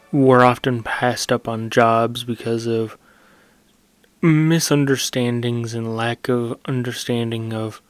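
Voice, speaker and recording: A man hosting his own gaming channel, talking in a casual, meandering way and correcting himself as he goes, with a soothing voice. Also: medium pitch. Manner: meandering, self-correcting with fillers. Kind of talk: casual reflective monologue.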